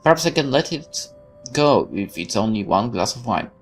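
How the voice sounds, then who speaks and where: A man's voice: mid-range voice, big pitch swings, moderate pace. A man hosting a let's play, expressively reading the game's text aloud with stumbles and asides, over music. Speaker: gaming video host reading the game text aloud. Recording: music playing behind.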